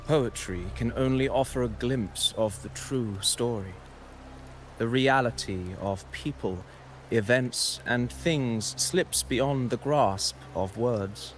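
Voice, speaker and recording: An actor playing a calm, enigmatic wandering poet in a video game, speaking in a measured, lyrical and formal way with deliberate pauses. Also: male voice, medium pitch, a little hiss or hum, lightly acted. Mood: wistful. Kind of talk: dramatic video game dialogue, poetic narration.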